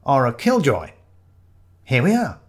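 A man voicing a theatrical male amateur detective, declaiming with grand dramatic pauses. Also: mid-range voice, wildly swooping pitch, voice pushed up. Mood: brisk.

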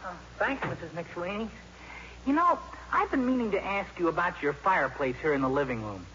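A man's voice: high-pitched, big pitch swings, a little fast, bright, forceful tone, exaggerated voice. An actor playing a polite, eager, awkward teenage boy in a 1950s radio comedy. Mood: polite, curious. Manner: awkward, naive, gee-whiz politeness. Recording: dull, noisy vintage radio broadcast.

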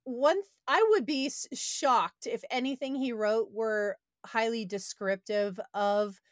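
A woman's voice: a little high, slow pace, no echo, studio quality. A woman co-hosting a casual book discussion, speaking bluntly and emphatically with a critical bent. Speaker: podcast co-host discussing books.

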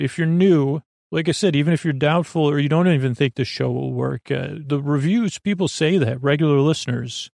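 A man with a creaky voice hosting a bedtime podcast, talking in a meandering, hesitant, digressive way that often repeats itself. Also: on the high side, a little mellow, dry recording, studio quality. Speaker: podcast host. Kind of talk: rambling bedtime sleep podcast monologue.

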